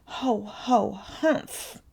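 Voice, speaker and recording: A woman's voice: medium pitch, drawn-out words, vocal fry, darkish tone, husky, exaggerated voice, rough recording. A woman voicing a tiny, wistful young male reindeer in a picture book, with a sighing, hesitant manner.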